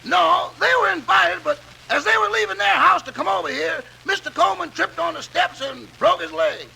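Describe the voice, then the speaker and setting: An actor playing a put-upon, wisecracking household servant with a rasping voice and dry, punchy timing in 1950s radio comedy. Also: male voice, very high-pitched, normal speed, pushed and bright, full character voice. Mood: matter-of-fact, wry. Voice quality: very gravelly, rasping. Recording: noisy vintage radio recording.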